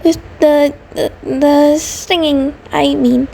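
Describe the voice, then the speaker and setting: A woman's voice: high-pitched, fairly even intonation, takes it slowly, gravelly, dark tone, a little hiss or hum, full character voice. A woman voicing an anxious, devoted centipede creature partner in an intimate audio roleplay, nervous and hesitant, stammering and rambling. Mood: anxious, pleading. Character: anxious, devoted female mutant centipede partner, sweet and neurotic.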